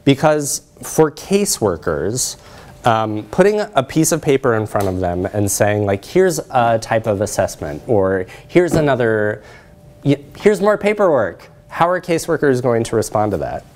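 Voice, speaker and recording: A man's voice: mid-range voice, big pitch swings, unhurried, a little mellow. A middle-aged man lecturing in a conversational way as he presents research and takes questions. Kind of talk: conversational academic talk with questions. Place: lecture room, indoors.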